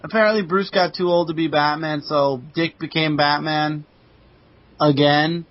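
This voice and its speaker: Higher-voiced man, casual podcast co-host, dull audio. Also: fairly even intonation, unhurried. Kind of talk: casual chat, comic book recap.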